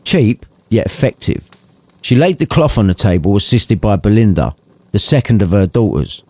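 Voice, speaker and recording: Male audiobook narrator: animated, dramatic, irreverent storytelling, dry close sound. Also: lowish voice, normal speed, no echo.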